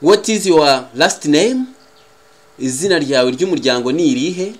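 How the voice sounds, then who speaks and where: Slow, higher-voiced Rwandan man teaching. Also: expressive. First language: Kinyarwanda. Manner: deliberate, carefully enunciated. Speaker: English teacher. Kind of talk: language lesson, repeating phrases.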